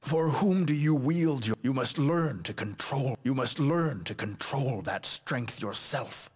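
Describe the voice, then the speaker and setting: A man's voice: medium pitch, a little slow, breathy edge, in a large hall. An actor playing a proud, menacing male warrior in a fighting video game, delivering theatrical, haughty lines full of disdain for weaker foes. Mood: solemn, instructive. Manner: haughty, declamatory, dramatic.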